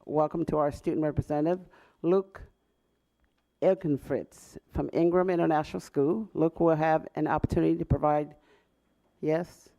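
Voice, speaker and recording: A man chairing a public school board meeting, welcoming guests and running the proceedings in a measured, procedural way. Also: fairly high pitch, steady tone, gravelly, dark tone, muffled recording.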